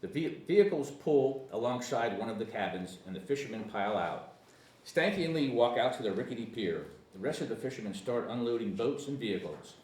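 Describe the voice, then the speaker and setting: A man's voice: mid-range voice, lively intonation, fairly quick, a little mellow. A man reading scripted stage directions as narrator at a screenplay table read. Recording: echoey room, distant mic, faint noise.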